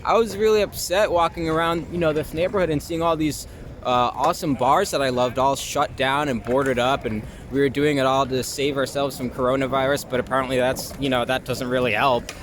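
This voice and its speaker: A man's voice: medium pitch, fairly even intonation, a little fast. A young American man from Long Island chatting casually and informally as an interviewee, feeling his way through answers. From Long Island, New York, USA. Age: young adult. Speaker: interviewee, actor and filmmaker. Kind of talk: casual street interview chat. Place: outdoors in the city. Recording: noisy outdoor city street with traffic.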